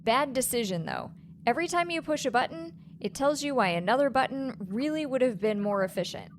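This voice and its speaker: A woman's voice: high-pitched, animated, brisk, a little hiss or hum. A woman playing a sarcastic, mocking narrator of a sci-fi comedy audio drama. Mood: amused, mocking. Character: snarky female narrator who scorns the characters.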